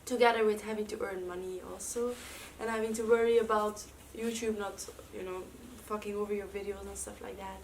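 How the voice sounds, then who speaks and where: A woman's voice: medium pitch, level tone, fairly quick, few pauses. A young woman with a Dutch accent, chatting casually at home in a vlog, with lots of fillers. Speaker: vlogger. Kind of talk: casual chat. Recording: slightly distant mic with faint room noise.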